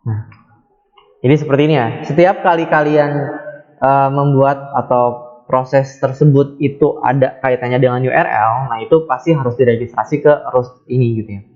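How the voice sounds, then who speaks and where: A man's voice: medium pitch, steady tone, normal speed, darkish tone, muffled recording. A man giving a coding tutorial, talking unhurriedly with many fillers and self-corrections. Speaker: programming tutor. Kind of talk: screen-recorded coding tutorial.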